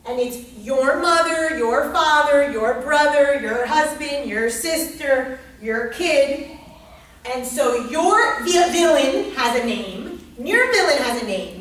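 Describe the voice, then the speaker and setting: Female lecturer, high voice, blunt and emphatic, repeats for effect, recorded at a distance. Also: fairly bright tone. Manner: emphatic, probing, repeats phrases. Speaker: lecturer and group leader. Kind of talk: interactive psychology lecture. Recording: echoey room, distant mic, faint noise.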